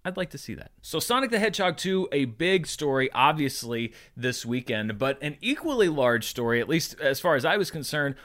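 Male show host, slightly high voice, highly varied intonation, enthusiastic and conversational solo commentary with emphatic stresses. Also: fairly bright tone. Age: 30s to 40s. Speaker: host of a box office recap show.

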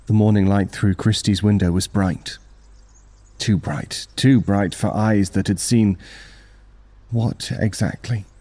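A man reading a first-person horror story as dramatic, measured scripted narration. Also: on the low side, steady tone, mellow, no room sound.

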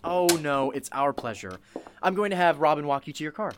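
A woman's voice: sits low, expressive, brisk, background noise. A woman playing an enthusiastic, sympathetic radio interviewer in an audio drama, effusive and earnest in manner. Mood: grateful, earnest. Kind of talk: radio play acting.

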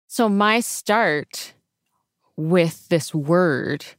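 A woman's voice: on the low side, very slow, no room sound, clean studio sound. A woman reporting in a conversational, informal storytelling style, leaning on key phrases. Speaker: radio science correspondent and reporter.

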